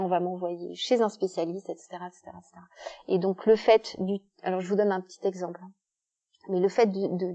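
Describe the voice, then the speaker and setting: A woman speaker explains step by step through everyday examples, often pausing with fillers. Her voice is dark and soft-edged. The recording sounds somewhat dull and muffled. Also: medium pitch. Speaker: guest speaker, author. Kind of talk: explanatory talk at a club meeting.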